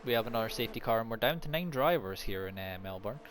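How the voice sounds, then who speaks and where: A man with a naturally quiet voice giving live, unscripted sim racing commentary, mixed with casual chat. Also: medium pitch, animated, quick pace. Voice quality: soft-spoken, naturally quiet voice. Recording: noisy home stream microphone.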